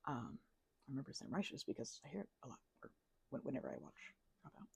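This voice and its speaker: Young woman, ASMR rambler: low-pitched, husky, mumbly, hesitant, very dry close-mic sound. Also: wildly swooping pitch, fast, a little mellow, no echo. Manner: mumbling, hesitant, drifting storyteller. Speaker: ASMR channel creator. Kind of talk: casual rambling ASMR chat.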